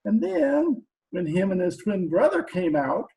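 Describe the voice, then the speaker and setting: A man playing a dim, bumbling henchman in a 1940s-style radio comedy, croaky, whiny and slangy. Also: high-pitched, big pitch swings, mellow, heavily acted. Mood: defensive, dopey. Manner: slow-witted, whiny, slangy, ungrammatical. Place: remote video-call performance.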